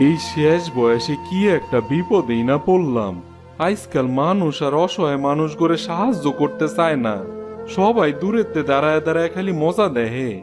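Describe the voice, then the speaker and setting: A man's voice: a little high, expressive, moderate pace, natural voice. A man voicing a weary, humble old jackfruit seller in a cartoon, plaintive and folksy, with a rural Bangladeshi accent. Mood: weary, sorrowful. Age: older. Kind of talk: cartoon storytelling dub. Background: prominent music.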